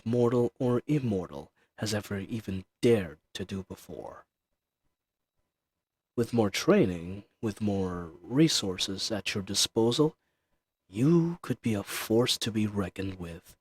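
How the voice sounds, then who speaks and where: Slow, imperious ancient dragon, acted by a man. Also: lowish voice, breathy edge. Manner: slow, haughty, teasing, formal archaic diction. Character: ancient male dragon, imperious, teasing, dangerous yet tender. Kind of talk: dramatic roleplay audio addressed to the listener.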